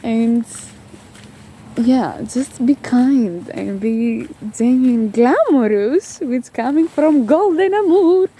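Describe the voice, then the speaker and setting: A Greek woman with a Greek accent giving a casual motivational coaching talk while walking outdoors, halting and emphatic with drawn-out words. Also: a little high, dark tone, slight background noise. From Greece. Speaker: spiritual life coach.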